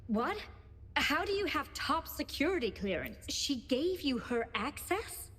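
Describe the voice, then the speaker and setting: A loyal female officer delivers businesslike, urgent lines in a game cutscene. Her voice is fairly high and rings with long reverb. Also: big pitch swings, unhurried, fairly bright tone, heavily acted. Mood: startled. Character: brisk, loyal female officer giving orders. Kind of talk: scripted video game cutscene acting.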